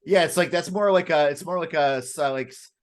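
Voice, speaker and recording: This is a man with a voice a bit higher than most. He talks through the news casually as a co-host, in a halting way, doubling back and restarting his phrases. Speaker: co-host of a weekend current affairs show. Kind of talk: casual unscripted news chat.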